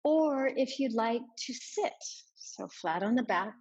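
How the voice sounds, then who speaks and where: A woman guides a meditation at a very unhurried pace, leaving space between short, soft instructions. Her voice sits at a medium pitch with a husky edge. The recording is somewhat dull and lo-fi. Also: expressive. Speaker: yoga and meditation teacher.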